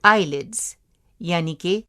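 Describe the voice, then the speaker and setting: A woman co-hosting a radio program, reading out a quiz question and answers in a lively, playful way. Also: lowish voice, slow pace. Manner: lively, playful teasing of co-host. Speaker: radio show co-host. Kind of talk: scripted radio quiz segment.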